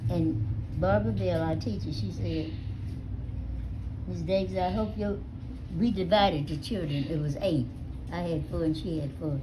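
An elderly American woman telling stories casually to family, with a salty, animated manner, recorded on a phone at home. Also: on the low side, moderate pace, long pauses, background noise. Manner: animated, salty, acts out dialogue with swearing. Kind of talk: casual storytelling among family. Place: small living room at home. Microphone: phone held near her.

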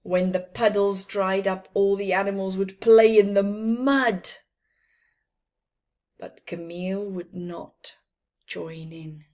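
Middle-aged female storyteller, very slow pace, mid pitch, expressive picture-book read-aloud for young children. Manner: deliberate, dramatic phrasing with emphasised words. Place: small plain room indoors.

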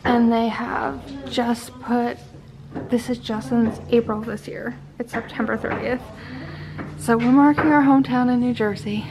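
A woman's voice: on the high side, fairly even intonation, measured pace. A woman in her twenties giving casual, enthusiastic travel vlog narration full of exclamations. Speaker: vlogger. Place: farm sugarhouse and outdoors. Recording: noisy on-location camera audio.

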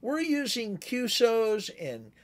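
A man's voice: extremely high pitch, wildly swooping pitch, slow. A man in his sixties giving a conversational, instructive amateur radio tutorial as the presenter. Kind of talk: explanatory tutorial with demonstrated radio calls.

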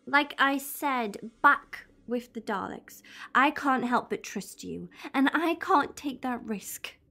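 A woman playing a tough, stubborn young time traveller's companion in an audio drama, blunt and quick to answer back. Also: high-pitched, expressive, creaky, pushed and bright, full character voice. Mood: firm, resolute.

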